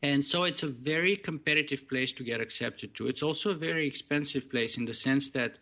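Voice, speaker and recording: A man with a thick Bulgarian accent and Bulgarian as his first language, a podcast guest telling his story in an earnest, measured way. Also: mid-range voice, sharp tone.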